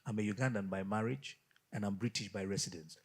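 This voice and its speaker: A man from Nigeria, a guest pastor, telling an animated story in a studio interview and acting out the dialogue. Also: lowish voice, fast, breathy edge.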